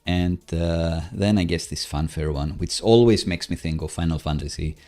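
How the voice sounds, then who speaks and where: A man live-coding on a stream, casually thinking aloud in a hesitant, halting, self-musing way. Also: low-pitched. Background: soft background music, computer keyboard typing.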